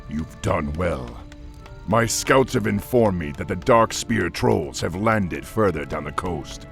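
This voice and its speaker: A man voicing a calm, measured male orc war chief giving orders in a video game cutscene. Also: low-pitched, creaky, heavily acted. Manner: measured, commanding and reassuring.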